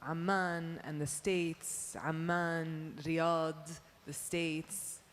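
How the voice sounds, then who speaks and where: A woman poet and performer on a panel, speaking expressively and quickly. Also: deep voice, flat delivery. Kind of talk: panel discussion answer. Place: museum panel stage. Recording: long hall reverb.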